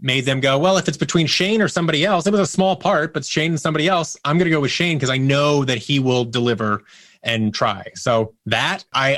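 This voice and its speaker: A man chats as a guest on an interview show, with a mid-pitched voice. He tells stories conversationally and slips into quoted back-and-forth dialogue. The sound is dry, with little room around him. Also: fairly bright tone, dry recording. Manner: acts out remembered dialogue in anecdotes. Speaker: podcast guest, audio producer and actor. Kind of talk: casual interview storytelling.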